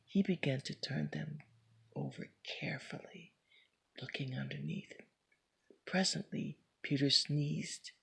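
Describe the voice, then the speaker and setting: A woman reading a children's story aloud as scripted narration, in a measured storybook manner. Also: sits low, big pitch swings, gravelly, a bit bright, breathy edge, in a whisper. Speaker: storybook reader.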